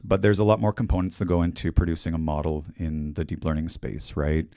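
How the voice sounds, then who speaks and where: A middle-aged man with a Canadian accent giving a technical conference talk in a fairly monotone, steady voice, speaking into a handheld mic on a hall stage. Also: deep voice, brisk, studio quality. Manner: monotone, steady explanatory delivery. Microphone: handheld microphone.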